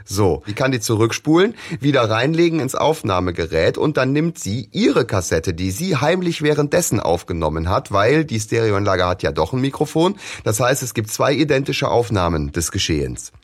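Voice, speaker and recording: A man's voice: mid-range voice, sing-song, normal speed, few pauses, breathy edge. A man co-hosting a fan podcast, explaining a story's plot step by step in casual discussion. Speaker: podcast co-host.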